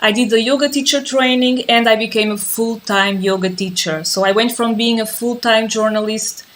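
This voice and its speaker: Calm woman teaching a live class. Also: on the high side, normal speed. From Azores, Portugal. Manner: calm, patient, repeats herself while waiting for viewers. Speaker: yoga and mindfulness teacher. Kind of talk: live-streamed class introduction.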